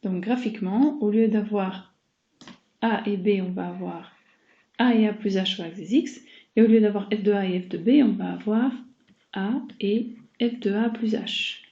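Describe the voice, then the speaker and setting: A woman's voice: mid-range voice, moderate pace, mellow. A woman giving a recorded math lesson as a teacher, explaining step by step and addressing her students directly. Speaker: mathematics teacher. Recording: dull muffled sound, roomy echo.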